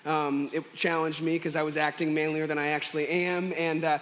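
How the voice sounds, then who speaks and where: A young man preaching as pastor at a church service, hoarse and chatty, joking with fillers and restarts. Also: a little high, little pitch movement, fairly quick, a bit bright. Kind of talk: casual sermon.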